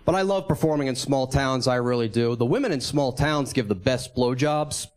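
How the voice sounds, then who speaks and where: A man in his thirties performing a stand-up comedy set, delivering punchlines at a measured pace. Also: mid-range voice, expressive, a little mellow. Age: 30s.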